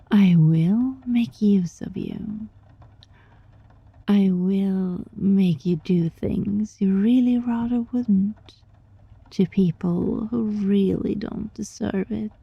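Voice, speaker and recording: Casting: woman as sly kitsune fox spirit for ASMR roleplay; very husky, breathy, slow, softly menacing, close dry mic with a slightly muffled sound. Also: medium pitch, dry recording, exaggerated voice. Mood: firm, resolute. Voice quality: breathy, often dropping into whisper. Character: sly, teasing female kitsune fox-spirit roommate, controlling yet gentle. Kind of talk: ASMR roleplay, scripted monologue addressed to listener.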